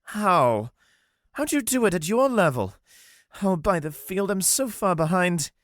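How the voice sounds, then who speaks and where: A man voicing a cheerful, excitable young male elf with a lifted, eager voice. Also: sits high, a little slow, dry recording, clean studio sound, pitched above the performer's own voice. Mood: incredulous.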